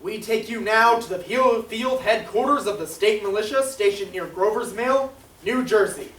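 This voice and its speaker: A man's voice: high voice, lightly acted. A man playing a grave, formal radio announcer delivering emergency bulletins in a 1930s broadcast style. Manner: solemn, formal, measured. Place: theatre stage, live performance. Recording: echoey distant stage recording, faint noise.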